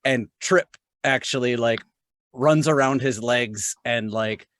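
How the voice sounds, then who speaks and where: Casting: male tabletop player, casual improvised narration, medium pitch, dry close sound. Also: slow, fairly bright tone, dry recording.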